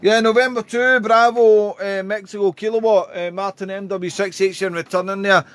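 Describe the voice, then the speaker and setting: Guy doing ham radio calls, highish voice, chatty and friendly, bit of radio noise behind. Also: steady tone, moderate pace, a bit bright. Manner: chatty, friendly, repeats call signs in phonetic alphabet. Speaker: amateur radio operator livestreaming. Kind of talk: radio contact calls and casual chat. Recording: clear background radio noise.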